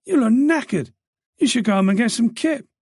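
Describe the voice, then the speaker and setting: A man's voice: very high voice, animated, moderate pace, darkish tone, husky, no echo, studio quality, pitched above the performer's own voice, heavily acted. A man voicing a chatty, familiar middle-aged police desk sergeant. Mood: breezy.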